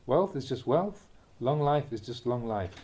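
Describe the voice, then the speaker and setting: Mellow, expressive man reading a dharma talk. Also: medium pitch. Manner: measured, deliberate phrasing in short clauses. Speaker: monk reading a Buddhist teaching aloud. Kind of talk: read-aloud dharma talk, audiobook narration.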